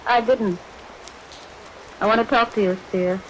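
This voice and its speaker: A woman's voice: fairly high pitch, fairly even intonation, measured pace, lightly acted, low-quality recording. An actress playing a cold, scheming, commanding woman who speaks in clipped, insistent questions, in vintage radio thriller style. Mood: cold, accusing. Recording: vintage radio recording, hiss, rain behind.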